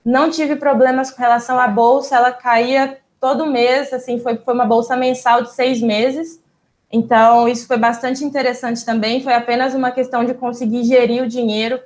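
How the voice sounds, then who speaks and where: A young woman with a Brazilian Portuguese accent and a notably high voice, talking as an interview guest. She explains things at an easy, conversational pace, and often stops on a short filler sound or restarts a word before going on. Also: normal speed. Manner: relaxed and explanatory, with frequent "é" fillers and small restarts. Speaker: interview guest, doctoral student. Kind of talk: casual interview storytelling.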